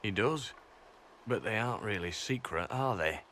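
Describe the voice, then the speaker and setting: An actor playing an earnest elven agent in a video game, speaking in a direct, controlled, matter-of-fact way. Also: male voice, on the low side, expressive, moderate pace, a bit bright, slight background noise. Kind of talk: video game cutscene acting.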